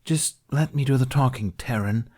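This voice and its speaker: A man voicing a calm, polite warrior-monk negotiator in an audiobook, with a measured, insistent manner. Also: medium pitch, pitched above the performer's own voice, lightly acted. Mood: firm. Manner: measured, formal, impassive.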